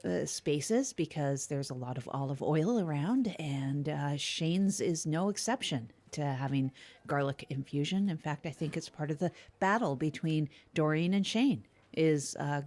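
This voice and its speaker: Lower-voiced woman chatting as podcast co-host. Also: lively intonation, unhurried, a little mellow. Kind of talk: improvised comedic podcast chat.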